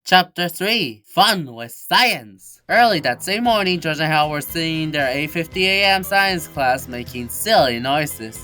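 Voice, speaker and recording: A low-voiced woman serves as narrator of a children's storybook, reading from a script. Clear music plays behind her voice. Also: lively intonation, unhurried, hardly any pauses, fairly bright tone.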